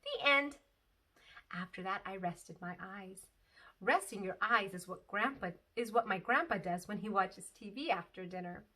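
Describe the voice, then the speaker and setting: A woman's voice: mid-range voice, moderate pace, pushed and bright, far from the mic. A woman teacher reading a children's book aloud expressively, with asides to her listeners.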